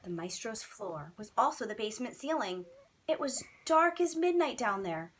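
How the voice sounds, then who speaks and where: A woman's voice: a little high, very animated, normal speed, a bit bright, slightly rough. A woman teacher reading a children's bedtime story expressively, with dramatic, emphatic phrasing.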